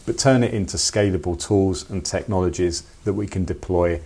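A man's voice: low voice, moderate pace, warm tone, husky. A man co-hosting a podcast, talking in an upbeat, enthusiastic way. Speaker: podcast co-host. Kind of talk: casual podcast chat.

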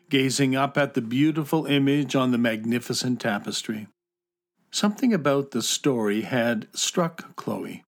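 A man narrating a children's fantasy audio drama in a measured, descriptive way. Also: mid-range voice. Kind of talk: scripted storybook narration.

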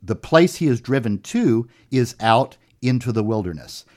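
A man's voice: medium pitch, animated, normal speed, a little mellow. A man co-hosting a podcast, reading a Bible passage aloud and breaking it down step by step. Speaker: podcast co-host. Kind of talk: conversational Bible discussion.

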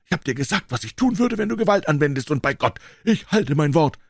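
A man voicing a desperate, frantic man rushing to a rescue, in a strained, high shouting voice. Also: very animated, creaky, no room sound, studio quality, full character voice. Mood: furious.

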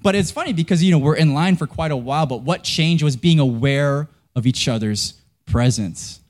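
A young pastor from Canada preaches in a loose, conversational way. His voice sits a touch higher than most men's. He wanders through his story with frequent fillers and self-corrections, poking fun at himself as he goes. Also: moderate pace. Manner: chatty, self-deprecating, many fillers and restarts. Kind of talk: casual storytelling sermon.

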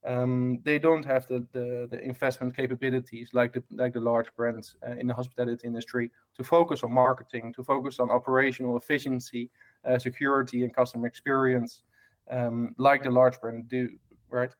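Dutch-accented man, halting interview guest. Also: medium pitch, little pitch movement. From Netherlands. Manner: many fillers and restarts, tag questions. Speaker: guest, hospitality tech lead. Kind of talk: casual podcast interview.

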